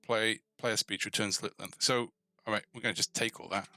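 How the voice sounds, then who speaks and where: A man hosting a casual live coding tutorial, thinking aloud informally and tentatively, often pausing mid-thought as he works. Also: fairly low pitch, fairly even intonation, bright, forceful tone. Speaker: host of a coding tutorial stream.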